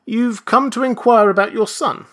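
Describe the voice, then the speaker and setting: A man voicing a stern, indignant male college registrar who speaks primly and rises to outraged shouting. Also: very high voice, fairly even intonation, moderate pace, voice pushed up. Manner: prim, rising to outraged shouting. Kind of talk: audiobook character voice.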